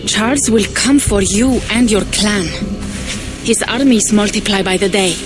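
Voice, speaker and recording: A woman's voice: medium pitch, unhurried, over music, close to an everyday voice. A woman playing a persuasive Viking envoy. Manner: earnest, persuasive.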